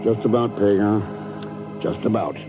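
An actor playing a calm, decisive secret agent in a radio drama, terse and dry in manner. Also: male voice, on the low side, moderate pace, music in the background, natural voice. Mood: dry, resolute. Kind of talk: 1950s radio drama acting. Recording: muffled, very noisy 1950s radio recording.

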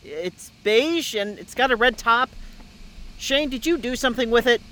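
A performer playing an anxious, exasperated boy at summer camp in an audio drama, with a halting, youthful delivery. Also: medium pitch, bright, forceful tone, lightly acted. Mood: worried. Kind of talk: naturalistic audio drama acting.

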